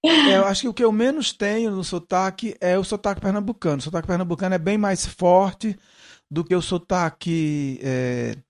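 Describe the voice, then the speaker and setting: Middle-aged male interview guest, Brazilian Northeast accent mellowed by São Paulo, fairly high register, repeats words while explaining. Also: animated, normal speed. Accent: Northeastern Brazilian, softened by São Paulo. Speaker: guest, musician. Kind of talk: casual interview conversation.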